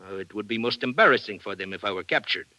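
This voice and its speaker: A suave, vain Sicilian bandit chief in a radio drama. His voice is very bright and forceful, with an Italian accent and a close, dry sound. He speaks in florid courtesies that carry a threat. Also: lowish voice, animated, fast talker, no room sound. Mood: smooth, amused. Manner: florid, mock-courteous, theatrical. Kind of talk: old-time radio drama acting.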